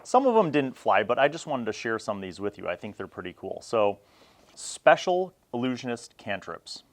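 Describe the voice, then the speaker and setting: A man in his forties hosting a casual, unscripted gaming review, talking reflectively and conversationally in short phrases with pauses. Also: mid-range voice, big pitch swings. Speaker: host of a vintage tabletop gaming review series.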